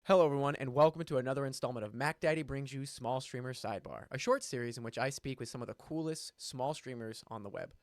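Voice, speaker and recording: A man hosting a casual interview, upbeat and quick to laugh. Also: medium pitch. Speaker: host.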